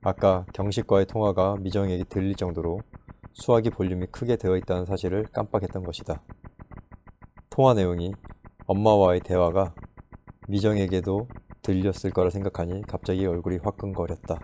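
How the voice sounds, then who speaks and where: A man calmly narrating a story in a measured way. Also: low voice, slight background noise. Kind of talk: audiobook narration.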